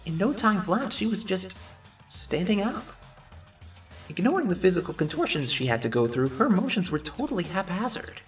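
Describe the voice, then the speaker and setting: A woman's voice: lowish voice, big pitch swings, normal speed, distant mic, soft background music. A woman playing an earnest, uncertain teenage boy who narrates an anime game fan dub in a thoughtful way. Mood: earnest narrating.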